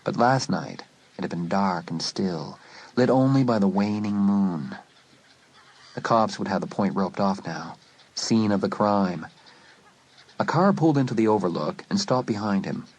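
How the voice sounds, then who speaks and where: A man narrating a suspense story in a dramatic, scripted style, letting phrases drop to a hush. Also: fairly low pitch, lively intonation, measured pace, slightly rough, rough recording. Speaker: audiobook narrator.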